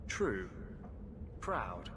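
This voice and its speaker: An actor playing a brooding, tormented rebel mage in a video game, speaking earnestly and hesitantly, as if confessing. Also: male voice, low-pitched, very animated, very slow, background noise, heavily acted. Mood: earnest, tender. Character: brooding, tormented rebel mage sharing his body with a spirit. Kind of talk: video game cutscene acting. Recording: music behind the voice.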